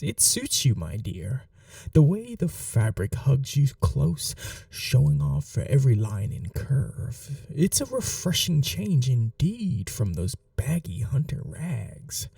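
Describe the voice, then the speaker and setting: A man playing a sadistic, possessive vampire lord in an ASMR roleplay, speaking softly and breathily with courtly, teasing menace and dropping often into whispers. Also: medium pitch, gravelly, dry recording, studio quality, distant mic, exaggerated voice. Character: sadistic, possessive male vampire lord, suave seductive villain. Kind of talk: ASMR roleplay audio drama.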